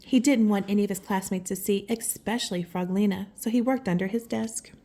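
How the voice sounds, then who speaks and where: A woman reading a picture book aloud to children, affectionate and expressive, with chatty asides and exclamations. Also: mid-range voice, a little fast. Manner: affectionate, gasps and exclaims in reaction to the story. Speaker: children's story reader and host.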